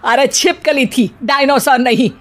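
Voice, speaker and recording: High-pitched bossy mother-in-law, acted by a woman. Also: measured pace. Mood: scolding. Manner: scolding, exclamatory, sarcastic. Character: bossy, sarcastic, easily scared Indian mother-in-law. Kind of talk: Hindi cartoon story voice acting.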